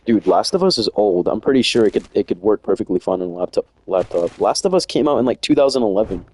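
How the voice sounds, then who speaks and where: A man's voice: lowish voice, lively intonation, fast talker, warm tone. A young man chatting casually and rambling in slang while streaming a game from home. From United States. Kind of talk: casual chat while playing.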